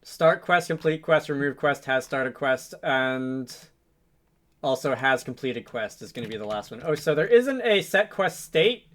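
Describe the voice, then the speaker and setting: A man's voice: mid-range voice, animated, measured pace, fairly bright tone. A man hosting a game development tutorial, talking casually and unscripted, musing to himself as he works. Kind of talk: casual unscripted screen-recording tutorial, thinking aloud.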